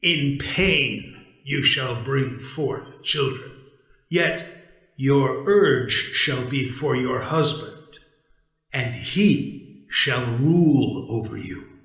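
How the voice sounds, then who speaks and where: A man's voice: mid-range voice, drawn-out words, gravelly, fairly bright tone, roomy sound, heavily acted, low-quality recording. A man voicing a stern, commanding God in a dramatic scripture reading, grave and emphatic as he judges. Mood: solemn judgment. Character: stern, commanding male God, solemnly judging.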